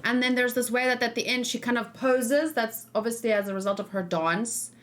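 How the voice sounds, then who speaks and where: Higher-voiced woman streamer, thinking aloud. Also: a little fast. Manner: rambling, thinking aloud, hesitant. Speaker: streamer host. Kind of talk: casual livestream reaction and discussion.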